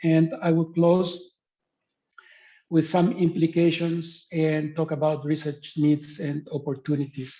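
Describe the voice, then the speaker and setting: A man's voice: a little high, little pitch movement, unhurried, dark tone. Dull-sounding man lecturing over a call. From Greece. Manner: hesitant, many fillers. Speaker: guest speaker, professor. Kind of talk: webinar lecture. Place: remote spot in the countryside. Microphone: video call.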